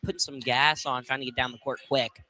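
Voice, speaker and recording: A man working as color commentator on a live college basketball game, informal and a little halting. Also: medium pitch, steady tone, pushed and bright. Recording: clearly noisy live radio broadcast.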